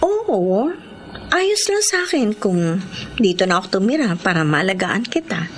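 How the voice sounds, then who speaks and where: A woman's voice: medium pitch, sing-song, unhurried, slight background noise. An actress with a Filipino accent playing a devoted, tender young woman in love in a sentimental, emotional radio drama. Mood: affectionate. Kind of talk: Filipino radio drama acting with letter-style narration. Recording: radio drama with prominent music underneath.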